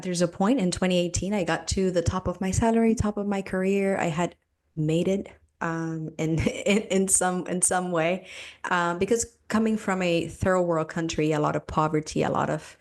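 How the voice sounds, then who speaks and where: A woman's voice: on the low side, darkish tone. A middle-aged woman from Cuba, a podcast guest, telling her story in a reflective way, with many fillers and restarts, laughing easily. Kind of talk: conversational interview.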